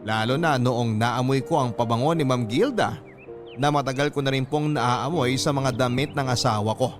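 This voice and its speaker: A man's voice: mid-range voice, normal speed. Guy radio host reading out a letter dramatically, mid voice, music going behind him. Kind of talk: scripted narration of a letter. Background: background music.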